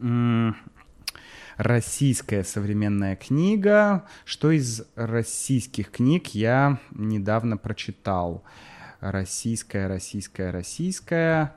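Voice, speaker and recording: A man's voice: lowish voice, takes it slowly. Chatty man with a St Petersburg accent. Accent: St Petersburg Russian. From St Petersburg, Russia. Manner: relaxed, chatty, many fillers and restarts, laughs easily. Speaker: video co-host. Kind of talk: casual chat.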